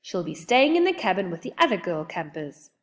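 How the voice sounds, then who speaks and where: A woman's voice: lowish voice, very animated, brisk, big-room sound. A woman voicing a stern, impatient female alien camp counselor in a storybook, curt and no-nonsense. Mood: brisk.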